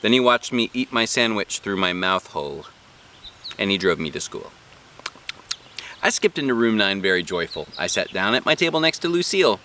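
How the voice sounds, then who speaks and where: A man hosting a read-aloud, narrating a children's storybook in an animated style. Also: on the low side, moderate pace, slight background noise.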